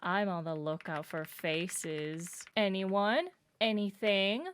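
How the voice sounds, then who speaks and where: A woman's voice: mid-range voice, steady tone, slow pace, slight background noise. A woman reacting on a livestream in a casual, playful way, talking back to the video she watches. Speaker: streamer reacting to a horror series.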